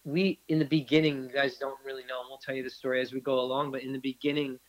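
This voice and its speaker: Reflective older man, halting speech. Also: mid-range voice, level tone, rough recording. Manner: reflective, halting with many restarts. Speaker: podcast guest. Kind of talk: casual interview chat.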